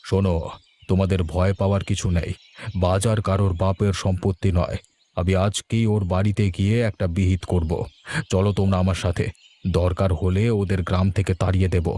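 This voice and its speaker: A man's voice: low voice, little pitch movement, fairly quick, dark tone, lightly acted. A man playing a righteous, protective village headman in a fairy-tale cartoon, firm but kindly in manner. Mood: resolute, protective. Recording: background music throughout.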